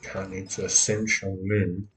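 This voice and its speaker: A man's voice: low-pitched, level tone, moderate pace, fairly bright tone, a little hiss or hum. A man giving casual live gameplay commentary, muttering to himself and often repeating words. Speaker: game streamer. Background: game music.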